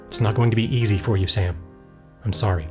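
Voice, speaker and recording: A man playing a steady, compassionate team leader in an audio drama, explaining things plainly. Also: on the low side, a little fast, over music, natural voice. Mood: sober, sympathetic. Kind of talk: scripted audio drama acting.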